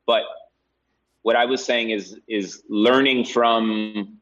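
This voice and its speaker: A man's voice: lowish voice, fairly even intonation, slow pace, a bit bright. A man being interviewed as a podcast guest, talking reflectively and conversationally, often checking in with a "right?". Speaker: podcast guest, real estate agent. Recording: dull, lo-fi sound.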